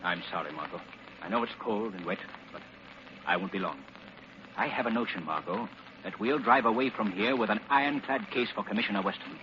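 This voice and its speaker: An actor playing a determined, polished gentleman sleuth in earnest 1940s radio style. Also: lowish voice, fairly quick, lightly acted. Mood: considerate. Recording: muffled old radio recording, loud hiss.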